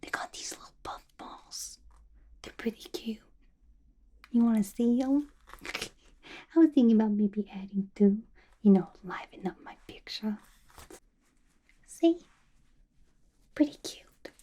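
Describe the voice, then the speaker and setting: A woman voicing a playful, unhinged young villain inmate in a chummy, slangy ASMR roleplay, mostly in a breathy whisper. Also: fairly high pitch, measured pace, long pauses, dark tone. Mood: playful, chatty.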